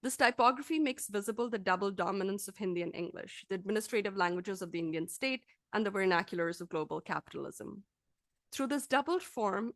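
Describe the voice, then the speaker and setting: A woman lecturer reading a scripted academic talk aloud in a formal, measured, articulate manner. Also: mid-range voice, dry recording, studio quality.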